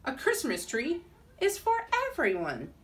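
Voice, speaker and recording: A woman voicing a gruff, jovial male Nutcracker ornament from a children's storybook. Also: sits high, wildly swooping pitch, measured pace, voice pushed up, full character voice. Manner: gruff, hearty. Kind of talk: storybook character read-aloud. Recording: distant mic in a room.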